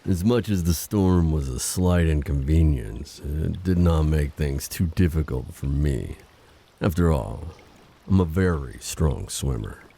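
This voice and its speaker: A man performs a proud siren prince from an audio drama. The voice is extremely deep, dark and husky, with a formal, reserved, self-assured way of speaking. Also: animated, a little slow, exaggerated voice.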